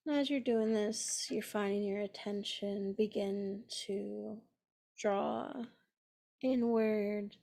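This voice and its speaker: A woman leading a guided meditation in a gentle, soothing manner, pausing often between phrases. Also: medium pitch, steady tone, gravelly. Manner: gentle, unhurried, with long pauses between phrases.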